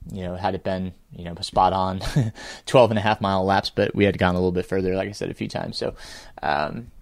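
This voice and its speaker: Halting, low-pitched man reflecting aloud. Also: no echo. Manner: halting, hesitant, with frequent filled pauses and small chuckles. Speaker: podcast host and amateur ultrarunner. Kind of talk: reflective unscripted audio-journal monologue.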